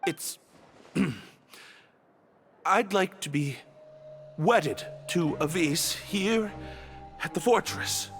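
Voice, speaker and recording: A man's voice: high voice, very slow, pauses often, a bit bright, soft background music, full character voice. A man playing an earnest, polite young male prisoner and groom-to-be, heartfelt, in video game dialogue. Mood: earnest, nervous.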